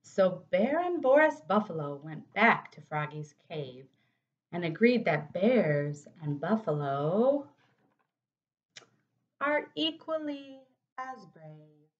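A woman's voice: medium pitch, sing-song, slow pace. A woman in her thirties with an American accent, reading a children's storybook aloud expressively. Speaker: city council member reading to children. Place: home office, indoors. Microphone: computer microphone at desk distance. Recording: slightly dull webcam audio.

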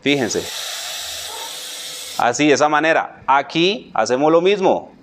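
A Colombian man with a high-pitched voice talks through a barbering tutorial. He speaks in loose street slang, repeating little phrases and addressing the listener as a buddy. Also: expressive, measured pace, background noise. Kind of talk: casual hands-on tutorial narration.

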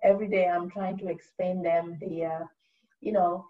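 A woman from Cameroon on an online panel, measured and hesitant, speaking from a room with bookshelves. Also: lowish voice, little pitch movement. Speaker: diversity and inclusion professional. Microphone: webcam on video call. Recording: distant muffled call audio.

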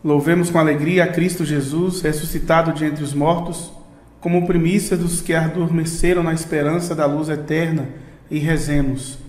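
A man's voice: a little high, fairly even intonation, moderate pace. A man leading liturgical prayers and reciting responses. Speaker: prayer leader. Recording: echoey reverberant room.

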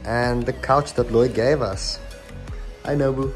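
A man's voice: mid-range voice, unhurried, faint music behind. A young man from South Africa chatting casually while taste-testing food for a vlog, laid-back and slangy. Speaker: vlogger. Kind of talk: casual food tasting chat. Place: restaurant, then home living room.

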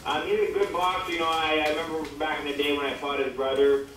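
A man's voice: fairly high pitch, little pitch movement, fast talker, fairly bright tone. A man casually answering press questions on a conference call through a speakerphone. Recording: very echoey speakerphone call, distant, faint noise.

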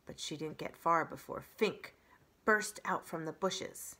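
A woman in her forties reading a children's story aloud in an expressive narrating style. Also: low voice, a little slow. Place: indoors by a brick fireplace.

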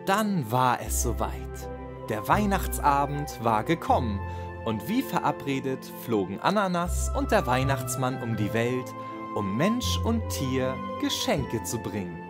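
A man's voice: medium pitch, sing-song, a little slow, music in the background. A man narrating a children's bedtime story as a scripted narrator.

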